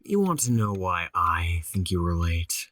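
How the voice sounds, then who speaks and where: A stern male boss in an audio drama, with a low voice and a close, dry sound. He lectures and scolds in a condescending way. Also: very animated, unhurried, a bit bright, no echo, exaggerated voice. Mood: stern, scolding. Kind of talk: audio horror drama acting.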